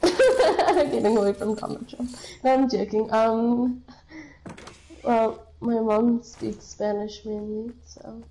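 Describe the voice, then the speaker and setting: A woman's voice: fairly high pitch, takes it slowly, dark tone, a little hiss or hum, low-quality recording. Laid-back young woman gaming online. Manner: laid-back, lots of fillers. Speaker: player. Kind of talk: casual chat while gaming.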